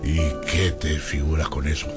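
An actor playing a stingy, pious, gullible priest who grumbles pompously, with exaggerated exclamations, in a radio drama. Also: male voice, a bass voice, flat delivery, normal speed. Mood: suspicious. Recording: studio radio drama with music bed.

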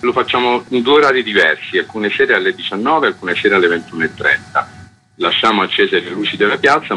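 A man's voice: low voice, pushed and bright, slight background noise, poor audio. A man who organizes a theatre festival, steadily listing program details in a radio interview.

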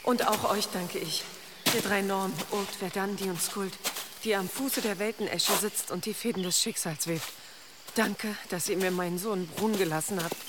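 Actress as hushed, devout pagan peasant mother. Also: mid-range voice, normal speed, heavy background noise, lightly acted. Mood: reverent, grateful. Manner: hushed, reverent. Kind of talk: German radio drama acting.

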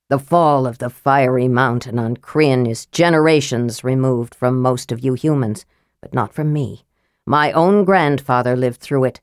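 A woman voicing a proud, angry old male dwarf in an audiobook, gruff and fierce, telling his story bluntly. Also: a little mellow, no echo, clean studio sound. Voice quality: gruff, deep. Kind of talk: audiobook character voice.

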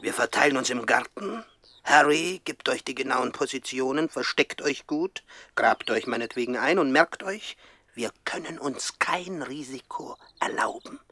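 An actor playing a gleeful, ruthless gangster boss in a radio play, raspy, commanding and theatrical. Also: male voice, medium pitch, expressive, normal speed, bright, forceful tone, no echo, studio quality. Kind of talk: 1960s German radio play acting.

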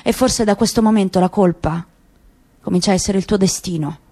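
A woman's voice: mid-range voice, a little fast, warm tone, voice pushed down, natural voice. A woman voicing a weary, grieving middle-aged father in a literary radio reading, speaking in a grave, searching way. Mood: bitter, reproachful.

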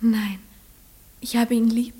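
A woman's voice: fairly high pitch, flat delivery, slow, dark tone. A female performer playing a shy, earnest little village schoolgirl in a German radio play, answering briefly and timidly in a roomy recording with faint noise. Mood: timid, pleading.

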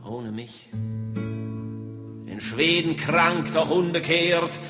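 Guy half singing half reciting poems, slow n solemn, guitar behind, big reverb. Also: fairly high pitch, animated. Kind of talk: sung and recited political poetry with guitar. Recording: long reverb, loud guitar accompaniment. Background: plucked guitar music.

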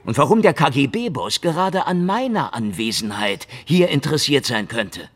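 An actor playing a cynical, world-weary Soviet secret-police detective in a hardboiled radio drama, sardonic and slangy. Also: male voice, medium pitch, very animated, a little slow, a bit bright, full character voice. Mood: sardonic, challenging. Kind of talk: noir radio drama acting and narration.

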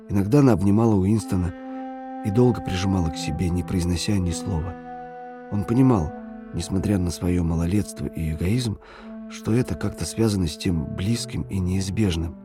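A man narrating a literary audio drama in a measured, sombre storytelling manner. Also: on the low side, mellow. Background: faint music.

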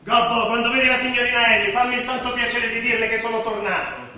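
In a very echoey theatre, a male actor plays a blustering police brigadier. His high, forceful voice commands and taunts. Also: steady tone, quick pace, exaggerated voice. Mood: bossy. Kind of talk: theatrical stage acting. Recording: distant, echoey theatre recording with noise.

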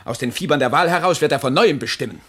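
An actor in a 1970s German radio play, playing an intriguing helper and possessive lover in a florid, conspiratorial, declamatory manner with a husky voice. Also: male voice, on the high side, animated, fast, a bit bright. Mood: conspiratorial, ardent.

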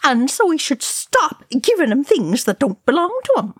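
A woman's voice: high-pitched, big pitch swings, moderate pace, no room sound, studio quality, voice pushed up. A woman voicing an indignant older male dwarf who scolds and mutters retorts in a fantasy story. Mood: indignant, sad.

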